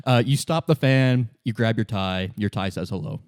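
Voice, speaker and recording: A man's voice: on the low side, a little mellow, smooth, dry recording. Wry man narrating a game, dry close sound. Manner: halting, informal, wry. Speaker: game master. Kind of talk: casual improvised narration of a tabletop game.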